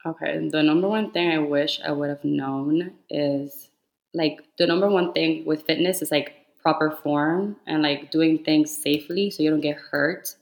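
Chatty young Cuban-accented woman, low voice. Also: fairly even intonation, moderate pace, gravelly, low-quality recording. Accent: Cuban Spanish. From Cuba. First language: Spanish. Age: 20s. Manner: chatty, many "like" fillers. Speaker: podcast guest, fitness influencer. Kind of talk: casual chat.

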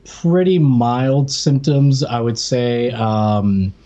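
A man's voice: mid-range voice, extremely slow, warm tone, highs cut off. An American man co-hosting a podcast, chatting casually as he tells personal anecdotes and acts out what people said. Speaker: podcast co-host.

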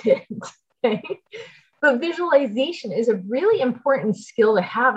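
Higher-pitched American woman, chatty and explanatory. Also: lo-fi sound. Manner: chatty, explanatory, often checks in with "okay" and "right". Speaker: podcast host giving homeschool tips. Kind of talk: casual conversational advice podcast.

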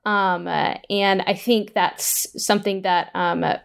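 A woman's voice: medium pitch, fairly even intonation, measured pace, vocal fry, clean tone. A woman speaking conversationally as an interview guest, feeling her way through points in a rambling, hesitant manner. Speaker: audio drama writer and producer.

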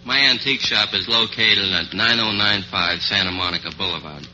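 Young man, low and very bright voice. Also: moderate pace, faint music behind. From Boston, Massachusetts. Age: young adult. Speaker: quiz show contestant, antique dealer. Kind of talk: chatty answers to a host. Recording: dull, noisy old radio recording.

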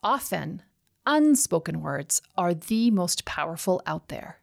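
A middle-aged woman with a slight Canadian accent hosting a scripted solo podcast, enthusiastic and emphatic, slowing down on key words. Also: on the low side, big pitch swings, creaky. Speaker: podcast host.

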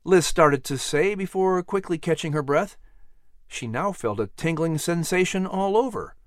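A man narrating a children's story from a script in an expressive storytelling style. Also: fairly high pitch, moderate pace, dry recording, clean studio sound. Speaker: storyteller and host.

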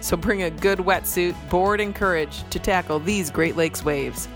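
A woman's voice: lowish voice, unhurried. Woman reading a segment over music. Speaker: podcast segment presenter. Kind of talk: scripted narration. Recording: clear music bed behind her.

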